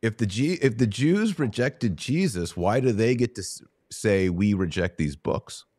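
Deep-voiced middle-aged American man, dry sound. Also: lively intonation, measured pace, a little mellow, no echo. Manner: explanatory, checks understanding with short questions. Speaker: host answering Bible questions. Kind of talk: conversational religious teaching.

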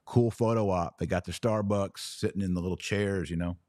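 A man with a very low voice speaks close and dry, like a podcast host thinking aloud. He gives religious commentary in a casual, colloquial style, leaning on short, punchy phrases that he repeats. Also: fairly even intonation, fairly quick, a little mellow, no room sound.

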